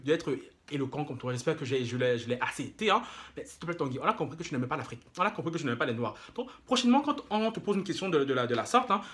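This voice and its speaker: A man's voice: a little high, a bit bright. A man delivering an opinionated, casual monologue to his viewers, indignant and mocking, repeating phrases for emphasis. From Africa. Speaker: YouTube commentator.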